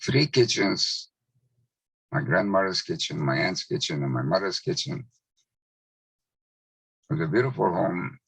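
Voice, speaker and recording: A man in his nineties, a Hungarian native speaker from Czechoslovakia, slowly recalling his childhood with measured pauses. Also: deep voice, animated, creaky, husky, no echo. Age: 90s. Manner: slow, measured recollection with pauses. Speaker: Holocaust survivor speaker. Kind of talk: testimony talk.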